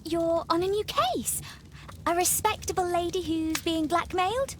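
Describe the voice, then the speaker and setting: Very high-pitched cheeky schoolgirl. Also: a little slow, noisy background. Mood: teasing, curious. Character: spirited, precocious, cheeky Victorian schoolgirl. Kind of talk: video game voice acting.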